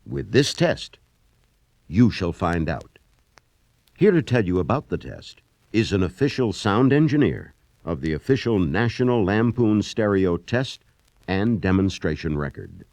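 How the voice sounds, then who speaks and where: Deep-voiced male announcer, expressive, mock-serious, dry studio. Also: unhurried, vocal fry, no echo. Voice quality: mock-authoritative. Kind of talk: scripted narration.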